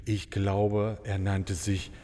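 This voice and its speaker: A man's voice: on the low side, level tone, slow pace, dark tone. A man playing a courteous, dignified travelling maharaja in a fairy-tale audio comedy, speaking in formal, flowery euphemisms. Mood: delicate, embarrassed. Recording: long reverberant hall sound.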